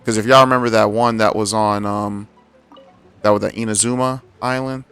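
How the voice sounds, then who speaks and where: A man's voice: on the low side, fairly even intonation, normal speed, a bit bright, smooth. A man giving casual, slangy gameplay commentary, talking to himself and his viewers. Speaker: game streamer. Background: video game music.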